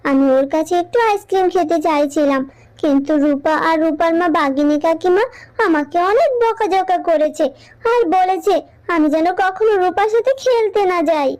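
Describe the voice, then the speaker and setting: A woman's voice: very high voice, normal speed, darkish tone. A woman voicing a sweet little girl animal in a children's fable cartoon, childlike and wheedling. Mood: plaintive.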